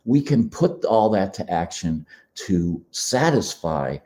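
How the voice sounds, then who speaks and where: A man speaks slowly and gently in a very low voice, a little froggy and hoarse. His tone is dark and mellow, unhurried, like a meditative spiritual talk recorded in a quiet room. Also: very animated. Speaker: podcast host. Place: quiet beach condo.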